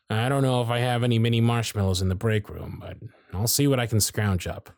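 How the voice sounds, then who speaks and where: A man voicing a jaded, century-old male workshop supervisor who is gruff, weary, sardonic and blunt, in an ASMR roleplay with close miking. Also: medium pitch, fairly even intonation, normal speed, a little mellow, no echo, close to an everyday voice. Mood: gruff, softening. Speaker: voice actor in audio roleplay.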